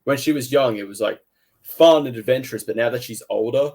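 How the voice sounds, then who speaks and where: A man's voice: medium pitch, brisk, darkish tone. A man with an Australian accent co-hosting a podcast, chatting eagerly about an audio drama box set with frequent restarts. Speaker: podcast co-host. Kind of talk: casual review chat.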